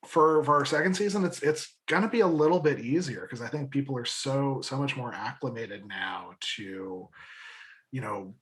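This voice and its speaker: A man's voice: medium pitch, animated, fairly bright tone. Rambling, enthusiastic man chatting informally. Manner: rambling, halting, repeats words and restarts sentences often. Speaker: guest, audio drama creator. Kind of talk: casual interview chat.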